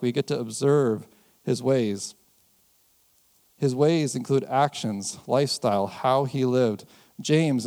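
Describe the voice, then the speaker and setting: An American man preaching a sermon in a conversational way, chuckling often and slowing down to stress key phrases. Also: medium pitch, dark tone. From United States. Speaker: pastor.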